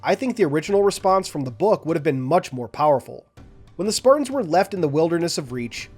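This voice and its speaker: A man's voice: a little high, darkish tone. Man narrating a scripted lore breakdown. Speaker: lore video host.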